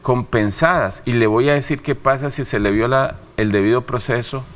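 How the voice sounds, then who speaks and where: A man's voice: medium pitch, normal speed, slight background noise. A man from Rica, giving emphatic interview answers full of rhetorical questions. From Costa Rica. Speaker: interview guest, human rights official.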